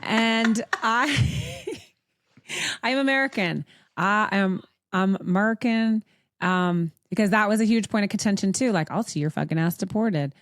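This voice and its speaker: A woman's voice: medium pitch, a little slow, no room sound, clean studio sound. A woman from Montreal with a Canadian accent, hosting a casual advice talk in a blunt, sweary, emphatic manner. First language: English. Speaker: podcast host.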